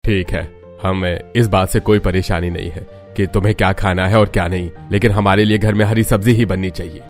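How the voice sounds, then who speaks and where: A man's voice: low-pitched, steady tone, brisk, soft background music. An actor playing a stern, stubborn old father-in-law in a cartoon family drama. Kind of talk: cartoon voice acting.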